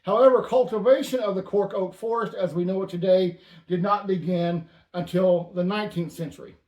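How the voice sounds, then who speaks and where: A man hosting a casual stamp-collecting show, with dry, deadpan banter. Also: high voice, fairly quick, echoey room. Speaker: host.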